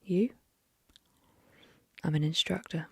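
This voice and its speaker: A woman's voice: low-pitched, steady tone, slow, creaky, fairly bright tone, husky, no room sound, studio quality, exaggerated voice. A woman whispering the lines of a friendly young male rowing instructor in a story reading.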